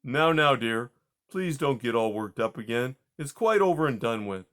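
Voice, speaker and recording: A man voicing a calm husband who soothes his wife in a measured, firm, somewhat patronizing way. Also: mid-range voice, lightly acted.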